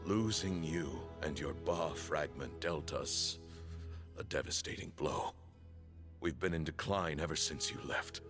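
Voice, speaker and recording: An actor playing the aging leader of a temple in a game, speaking in a grave, measured and formal way. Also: male voice, very deep voice, over music. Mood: solemn. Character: aging male temple leader, solemn, guilt-laden. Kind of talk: video game cutscene acting.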